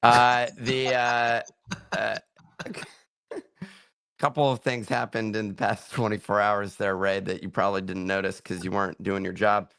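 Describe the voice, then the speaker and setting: A male co-host with a low voice talks in a dry, teasing manner. The recording is close and dry, with no room sound. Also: little pitch movement, unhurried, vocal fry, fairly bright tone, clear, resonant tone, no echo. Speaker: podcast co-host. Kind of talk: casual podcast banter.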